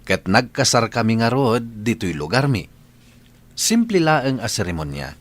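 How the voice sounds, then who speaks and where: A man's voice: mid-range voice, sing-song, measured pace. A man with an Ilocano accent playing a bashful bachelor son who is courting, in a radio drama. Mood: earnest narrating.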